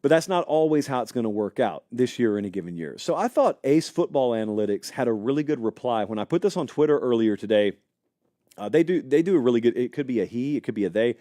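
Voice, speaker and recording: A man hosts a live sports talk show, his pitch swinging up and down as he muses and argues points with viewers. His voice is warm and mellow, recorded dry and close in a studio. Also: fast talker, no echo. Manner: animated, conversational, speculative, addresses live chat. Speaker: college football show host.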